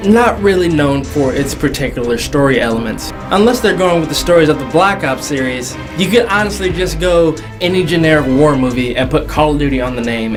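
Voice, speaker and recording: High-voiced young man hosting over music. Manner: jokey, slangy, drawn-out emphasis. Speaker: video host. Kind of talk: casual entertainment commentary. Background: background music.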